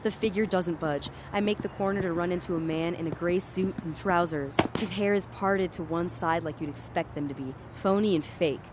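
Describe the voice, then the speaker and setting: A woman's voice: fairly low pitch, moderate pace, hardly any pauses, natural voice. A woman playing an uneasy, tripping young woman walking home at night, narrating in the first person in a dramatic audio story. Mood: uneasy, watchful. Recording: clear street and traffic ambience behind.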